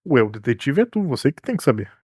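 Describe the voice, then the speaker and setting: A man voicing a cheeky, unbothered crew member in an animated comedy, flippant and colloquial. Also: medium pitch, fast, breathy edge.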